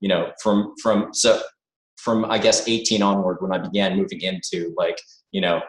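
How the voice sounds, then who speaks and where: Low-voiced man on a call, pausing and searching for words, heard in a roomy space. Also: flat delivery. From born in the United States, raised abroad. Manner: hesitant, thoughtful, many "you know" fillers. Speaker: interview guest. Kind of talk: reflective conversational interview.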